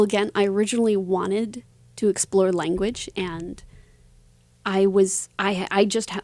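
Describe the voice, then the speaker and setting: A woman being interviewed as an author, answering conversationally in a reflective way with halting phrasing. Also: medium pitch, warm tone, no echo.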